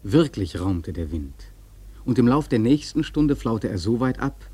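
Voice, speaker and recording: A man narrating a literary radio drama from a script in a mellow, husky voice. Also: mid-range voice, big pitch swings, unhurried, dry recording.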